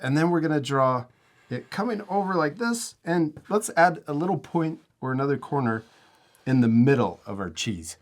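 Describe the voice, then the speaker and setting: A man's voice: on the high side, lively intonation, normal speed. A man hosting a casual step-by-step art tutorial, giving encouraging, simple instructions for children. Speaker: host teaching drawing to children.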